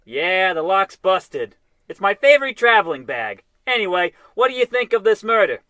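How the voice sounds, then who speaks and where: Man acting as dim cop, high bright voice, earnest deadpan, comic radio drama. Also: normal speed, no room sound, exaggerated voice. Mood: earnest, oblivious. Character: dim-witted, earnest male police officer. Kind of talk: comic old-time radio play acting.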